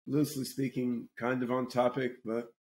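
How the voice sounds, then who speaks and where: A man hosting a casual podcast conversation, talking in a halting way with many repeated words and restarts. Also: mid-range voice, little pitch movement, a little mellow. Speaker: podcast host.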